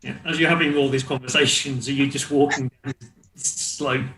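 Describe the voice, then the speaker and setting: A man's voice: medium pitch, steady tone, normal speed, pushed and bright. A man acting as game master, casually improvising narration and descriptions for a tabletop roleplaying game. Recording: roomy echo, faint background noise.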